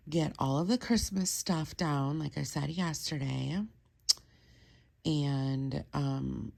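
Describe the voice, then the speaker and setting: A low-pitched woman with a gravelly, creaky voice talks casually into a handheld phone camera in her home kitchen. She is a little stuffy-nosed and rambles along in easy vlog chat. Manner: chatty, rambling, with soft asides. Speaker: vlogger.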